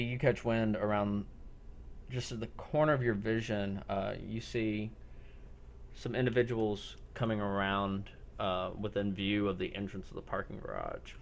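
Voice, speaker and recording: Low male voice, game master, improvised scene narration, halting descriptive delivery. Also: a little hiss or hum. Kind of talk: improvised narration of a tabletop-style zombie role-play.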